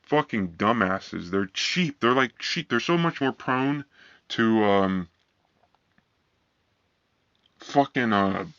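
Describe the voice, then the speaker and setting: A man with a flat, monotone voice delivers a heated, profanity-laced rant, circling back to the same complaints. The recording sounds dull and lo-fi, with a dry, close feel and no room around it. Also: on the low side, no room sound. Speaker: vlogger. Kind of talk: angry ranting monologue.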